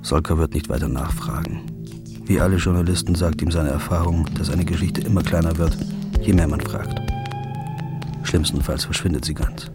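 Guy doing a tired brooding narrator, real deep low voice, loud music under it. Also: steady tone, normal speed, natural voice. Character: weary, drifting man hunting his girlfriend's killer. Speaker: first-person narrator and protagonist. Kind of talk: radio play narration and dialogue.

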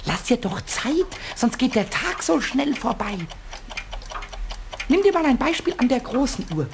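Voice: high-pitched, sing-song, measured pace, vocal fry, exaggerated voice. An actor playing a cheeky, bossy, childlike male kobold, chattering and giggly, in a German children's radio play. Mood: amused, playful. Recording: noisy old radio play with ticking clocks.

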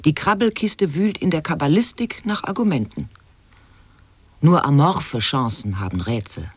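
An actor voicing a mock-serious reciter of nonsense permutations and absurd aphorisms, declaiming in an avant-garde radio play. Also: male voice, on the high side, expressive, moderate pace, husky, no echo.

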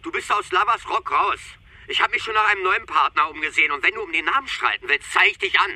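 An actor playing an angry, hurt bandmate in a radio drama, curt and sarcastic with a husky edge. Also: male voice, high voice, expressive, fast, sharp tone, no room sound, heavily acted. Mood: bitter, sarcastic. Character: angry, hurt bandmate cutting off his friend. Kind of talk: radio drama phone dialogue.

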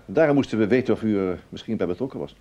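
Low-voiced, wry intelligence officer. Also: male voice, lively intonation, racing, dark tone, lo-fi sound. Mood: tactful, reluctant. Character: wry, tactful male intelligence officer breaking bad news. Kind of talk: Dutch radio play acting. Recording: noisy old radio drama recording.

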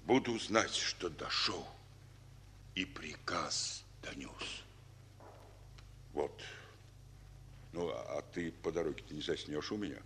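An actor playing a weary, fatherly general in a Russian war drama, speaking in a pensive, halting way. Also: rumbling low, bright, forceful tone, slight background noise, heavily acted. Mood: grave, fatherly. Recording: old reverberant radio recording with music.

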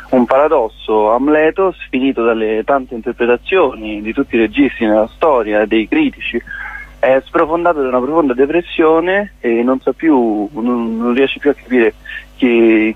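A young man in his twenties speaks over a phone line as a theatre guest. His voice sits at a mid pitch and sounds dry, and he explains his work thoughtfully, pausing and restarting his phrases. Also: no room sound. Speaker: playwright and director guest. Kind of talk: phone interview. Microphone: telephone line.

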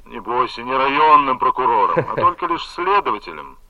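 A man's voice: medium pitch, very animated, fairly quick. Muffled phone voice, breezy male superior. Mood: breezy, commanding. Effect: telephone voice. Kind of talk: audio play acting. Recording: muffled telephone line.

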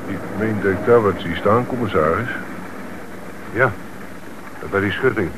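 Actor as a low-voiced dutiful police detective. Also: male voice, measured pace, lightly acted. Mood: matter-of-fact. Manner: matter-of-fact, brief. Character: dutiful male police detective assisting his commissioner. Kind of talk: radio play acting. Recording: noisy old radio drama recording.

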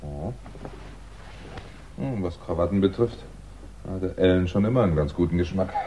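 An actor playing a wry, understated fugitive crime writer in a 1960s German radio play. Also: male voice, sits low, normal speed, close to an everyday voice. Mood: weary, muttering. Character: fugitive crime writer, dry, weary, polite man on the run. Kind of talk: 1960s German radio play acting and first-person narration. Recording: dull, noisy 1960s radio recording.

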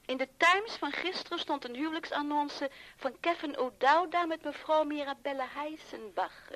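A capable secretary in a seventies radio drama. She has a fairly high voice and gives her reports in a composed, businesslike manner. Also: moderate pace, a bit bright, rough recording. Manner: matter-of-fact, composed. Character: efficient, discreet female secretary and assistant. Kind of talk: 1970s Dutch radio play acting.

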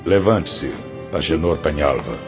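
A Brazilian actor playing a stern, solemn spirit teacher with an air of authority in a radio drama. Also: male voice, extremely low pitch, lively intonation, measured pace, exaggerated voice. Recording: dull lo-fi audio under loud music.

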